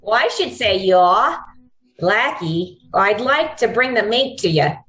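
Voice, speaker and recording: Woman as female radio schemer, flirtatious, gushing, coaxing, very lively pitch, unhurried. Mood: coaxing, sweet. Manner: cooing, drawn-out, sugary. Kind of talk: old-time radio drama acting.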